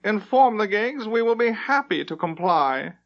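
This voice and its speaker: A man's voice: high voice, animated, measured pace, dull audio, pitched above the performer's own voice. A man voicing a haughty, self-important elven ship captain with a sneering, condescending delivery in a fantasy audiobook. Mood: gloating. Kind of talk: audiobook character acting in a fantasy story.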